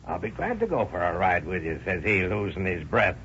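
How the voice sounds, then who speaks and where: An actor playing a jealous, bullying middle-aged postmaster in 1930s radio acting, gruff and sneering. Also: male voice, low voice, big pitch swings, a little fast, fairly bright tone. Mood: jealous rage. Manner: gruff, sneering, explodes in anger. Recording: muffled old radio broadcast with faint hiss.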